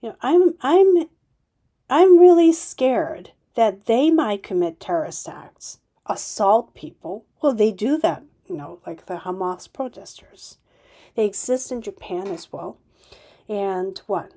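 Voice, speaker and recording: Woman podcast host, unscripted, slow and halting delivery with emphatic outbursts. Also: mid-range voice, lively intonation, mellow. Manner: halting, many restarts and fillers, emphatic bursts. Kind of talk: unscripted solo podcast monologue.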